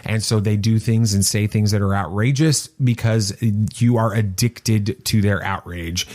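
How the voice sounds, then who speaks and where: A man's voice: on the low side, steady tone, a little slow, darkish tone. A middle-aged man co-hosting a podcast in casual fan discussion, speaking emphatically and a little preachy, repeating himself for weight. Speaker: podcast co-host.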